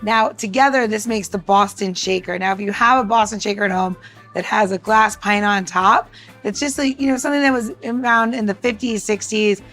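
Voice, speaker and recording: A woman's voice: medium pitch, steady tone, a little fast, fairly bright tone, soft background music. A woman from the United States co-hosting a podcast with casual, chatty, blunt banter and practical how-to tips. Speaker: podcast co-host.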